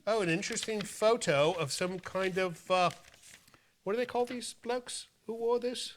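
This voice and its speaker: A man's voice: high-pitched, moderate pace, slight background noise. A man hosting a show, reading out mail and bantering in a theatrical, playful way. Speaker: host of a horror movie show.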